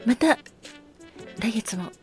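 A woman hosting a casual solo talk show, speaking politely with effusive gratitude and soft apologies. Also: on the high side, lively intonation, takes it slowly, faint music behind. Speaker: podcast host.

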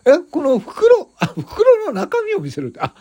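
A man's voice: very high voice, huge pitch swings, unhurried, mellow, exaggerated voice. A man playing a tipsy, flustered, cheerful fellow who rambles and stammers over-politely in an improvised comic skit. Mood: flustered, defensive. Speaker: show host.

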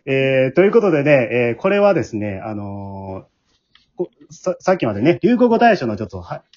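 A man's voice: mid-range voice, big pitch swings, normal speed, a little mellow. A man hosts a casual podcast round-table, mixing polite announcer phrasing with chatty asides. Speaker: host, leader of an amateur drama group. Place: at home, remote group call. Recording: dull remote home recording.

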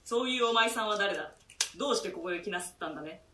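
A bright-voiced deferential jailer. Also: male voice, high-pitched, expressive, reverberant, recorded from a distance, a little hiss or hum, heavily acted. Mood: wary. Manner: formal servile speech, measured. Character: polite male jailer, deferential.

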